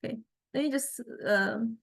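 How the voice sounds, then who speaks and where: A woman giving an informal software demonstration lecture, hesitantly thinking aloud and searching for words as she explains. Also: a little high, normal speed, vocal fry. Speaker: music technology lecturer.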